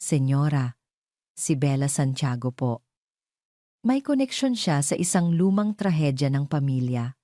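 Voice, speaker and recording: A woman's voice: deep voice, very animated, measured pace, pauses often, dark tone, dry recording, clean studio sound. A woman voicing a hesitant, deferential male informant reporting to an employer in an audio drama. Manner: hesitant, respectful.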